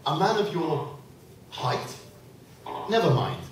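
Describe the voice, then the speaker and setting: A man's voice: fairly high pitch, big pitch swings, slow pace. Man playing an echoey, patronising eccentric doctor. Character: eccentric male doctor, smug, patronising, sourly misogynistic. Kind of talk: comic radio play acting before an audience. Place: convention room, live stage performance. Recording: echoey distant live room recording, background noise.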